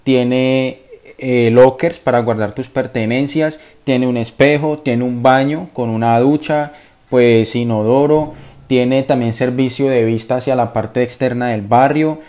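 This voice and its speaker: A man's voice: mid-range voice, fairly even intonation, measured pace. A young man with a Colombian accent, vlogging his travels to camera in a chatty, enthusiastic way. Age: young adult. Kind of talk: casual travel vlog to camera. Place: outdoors at a stadium and a bus station. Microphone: phone or camera held at arm's length. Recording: outdoor city noise, traffic. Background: loud urban ambience and vehicles.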